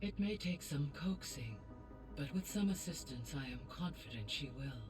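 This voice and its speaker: A woman voicing a calm, courteous artificial intelligence guide in a video game, speaking formally and precisely. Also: deep voice, moderate pace, recorded from a distance. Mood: welcoming. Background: game music.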